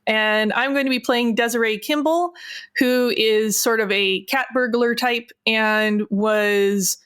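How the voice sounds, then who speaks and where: A woman's voice: fairly high pitch, fairly even intonation, slow, a bit bright, smooth. A woman playing a tabletop role-playing game, chatting informally and bluntly, in and out of character. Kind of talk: casual game chat, in and out of character.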